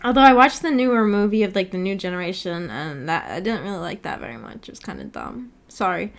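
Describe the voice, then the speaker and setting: A woman's voice: medium pitch, expressive, quick pace, vocal fry, soft background music. A young adult woman chatting casually on a livestream, rambling informally and reading viewer comments aloud as she goes. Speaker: VTuber livestreamer.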